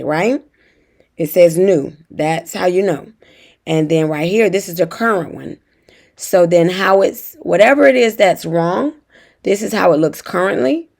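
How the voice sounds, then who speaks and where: A young woman with a hoarse, raspy voice from feeling unwell, walking viewers through a form in a chatty tutorial. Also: a little mellow. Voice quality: raspy, deeper than usual. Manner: chatty, talks straight to viewers. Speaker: notary tutorial host. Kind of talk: casual tutorial walkthrough.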